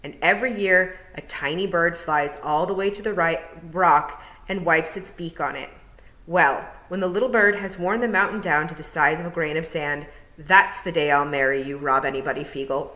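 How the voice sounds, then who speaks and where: A woman reading aloud and voicing a determined, quick-witted young girl in an audiobook. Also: low voice, echoey room, low-quality recording. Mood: sly, composed. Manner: bright, insistent, rising to exasperation.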